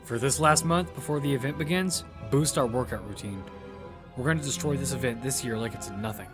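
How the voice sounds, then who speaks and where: A man's voice: mid-range voice, fairly quick, lightly acted. A man playing a determined lead who narrates his own survival story in a matter-of-fact way. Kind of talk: first-person audio fiction narration. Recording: music bed behind the voice.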